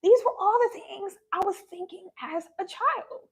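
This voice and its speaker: A woman's voice: very high-pitched, normal speed. A young woman talking passionately and earnestly to her viewers on a live stream. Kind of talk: personal talk to viewers.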